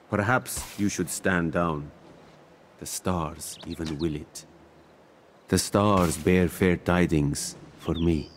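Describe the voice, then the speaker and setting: Ra, a theatrical mystic seer god in reverb. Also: male voice, deep voice, darkish tone, exaggerated voice. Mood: smug, ominous. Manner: theatrical, measured, formal. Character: mystic male seer deity, serene, grandiose, smugly wise. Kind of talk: video game character voice lines.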